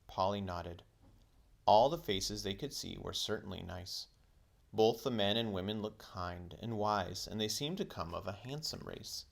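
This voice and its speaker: A man reading a children's book aloud as a teacher would, in expressive storybook narration. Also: lowish voice, measured pace, gravelly.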